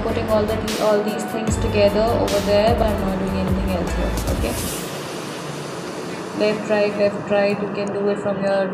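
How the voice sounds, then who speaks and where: A young woman, casually talking viewers through a design tutorial with many fillers and restarts. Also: medium pitch. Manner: chatty, direct address with many fillers and restarts. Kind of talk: casual screen-recorded tutorial. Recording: roomy voice-over with loud background music.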